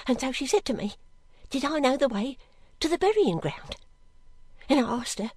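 A woman's voice: sits high, very animated, moderate pace, darkish tone, slightly rough, no echo, studio quality, pitched above the performer's own voice, full character voice. A woman voicing a frightened, piteous young servant girl in an audiobook, pleading and repeating herself in a plaintive way. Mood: piteous, earnest. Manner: plaintive, pleading, breathlessly repetitive. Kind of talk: audiobook character acting.

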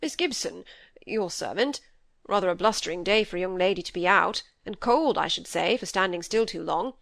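A woman voicing a shrewd, jovial old gentleman in an audiobook, with a hearty, knowing manner. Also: lowish voice, lively intonation, moderate pace, vocal fry, no room sound, clean studio sound. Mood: knowing, teasing. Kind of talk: acted audiobook dialogue.

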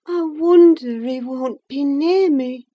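Casting: woman voicing a dying young heroine, high, breathless and pleading, proud even in fever. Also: takes it slowly, dull audio. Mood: yearning.

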